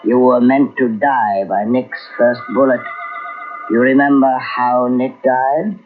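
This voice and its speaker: A man playing a solemn slow-talking ghost. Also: mid-range voice, steady tone, soft background music, close to an everyday voice. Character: ghostly dead man walking between worlds, calm, solemn. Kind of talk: 1940s radio acting. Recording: tinny old radio transcription with hiss.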